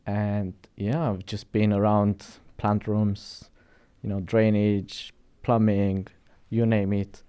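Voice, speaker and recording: Romanian-accented man, low mellow voice. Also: flat delivery, slow, clear, resonant tone. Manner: chatty, storytelling, frequent restarts and "right?" tags. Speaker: guest. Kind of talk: casual interview chat.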